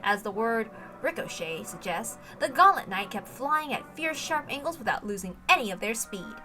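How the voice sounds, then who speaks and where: A woman's voice: fairly high pitch, very animated, normal speed, sharp tone, faint music behind. A woman reading a story aloud as dramatic scripted narration, with emphatic, theatrical delivery. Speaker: reader of a visual novel.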